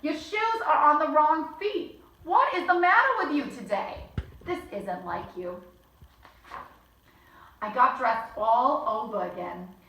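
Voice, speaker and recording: A woman's voice: sits high, expressive, takes it slowly, pauses often, fairly bright tone, a little hiss or hum. A woman reading a children's bedtime story aloud as host, chatting between lines and acting out the characters. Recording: echoey room, mic at a distance.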